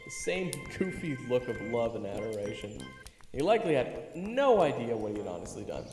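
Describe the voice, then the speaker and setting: A man's voice: medium pitch, big pitch swings, normal speed, darkish tone. A man playing a goofy, boastful young human adventurer who tells his own tale in an audio drama, theatrical and joking. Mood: storytelling. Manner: theatrical, joking, conversational storytelling. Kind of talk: first-person audio drama narration and dialogue. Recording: echoey, reverberant, music underneath. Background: music.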